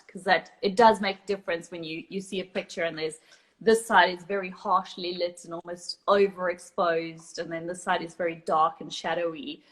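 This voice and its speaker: A woman's voice: lowish voice, fairly bright tone, poor audio. A woman with a South African accent, a photographer talking through her tips on a live video call, explaining with frequent ums and restarts. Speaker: guest photographer. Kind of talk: casual live chat.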